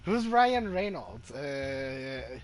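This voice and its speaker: A male gaming streamer giving casual playthrough commentary, halting, with non-standard grammar. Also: mid-range voice, very animated. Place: game commentary over game audio.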